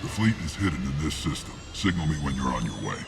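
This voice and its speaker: A man playing a gruff mercenary lieutenant in a video game, with a clipped, businesslike manner. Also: very deep voice, sing-song, quick pace, over music, full character voice. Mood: gruff, skeptical. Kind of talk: dramatic video game acting.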